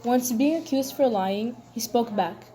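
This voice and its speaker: A woman's voice: a little high, measured pace, mellow. A woman reading a scripted news report aloud as one of two presenters.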